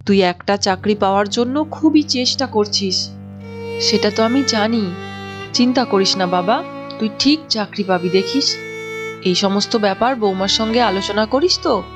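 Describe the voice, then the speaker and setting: A woman playing a caring, gently worried middle-aged mother in a cartoon story, speaking in a solicitous, motherly way. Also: mid-range voice, normal speed, music in the background, close to an everyday voice. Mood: reassuring. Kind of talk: Bengali cartoon story acting.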